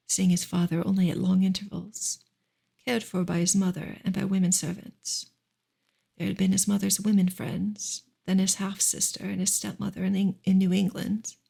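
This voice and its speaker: A woman reading a classic novel aloud as soft ASMR, with a hushed, gentle, measured delivery and a breathy, husky voice. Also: medium pitch. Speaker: ASMR reader and livestream host.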